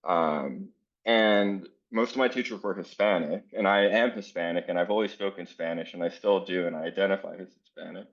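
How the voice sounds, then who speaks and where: Low-voiced man leading a teacher workshop. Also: fairly quick, dull audio. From South Florida. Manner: casual, many fillers, earnest. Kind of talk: conference workshop presentation.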